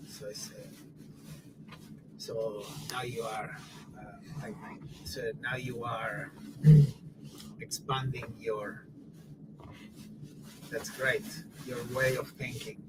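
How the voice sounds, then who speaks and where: A middle-aged man chatting in a halting way, stopping and restarting his sentences and clearing his throat now and then. He sits at an average pitch, and a steady background noise runs clearly under his voice. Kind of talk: casual conversation.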